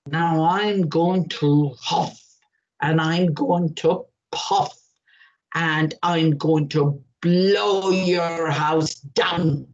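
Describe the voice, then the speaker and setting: A woman putting on a slow, deep big bad wolf. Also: steady tone. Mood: menacing. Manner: slow, drawn-out, growling threats. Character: big bad male wolf, menacing and sly. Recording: dull lo-fi recording.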